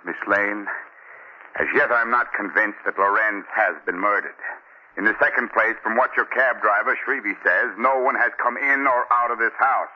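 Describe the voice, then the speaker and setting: An actor playing a brusque, skeptical police commissioner, gruff and impatient, in a 1940s radio drama. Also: male voice, on the low side, normal speed, natural voice. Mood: exasperated. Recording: tinny old 1940 radio recording.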